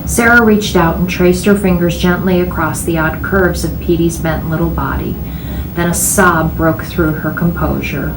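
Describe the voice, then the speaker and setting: Woman with a low voice reading a story out loud, roomy echo, noisy background. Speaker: reader reading a novel aloud. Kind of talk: expressive read-aloud storytelling narration. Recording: echoey room, clear background noise.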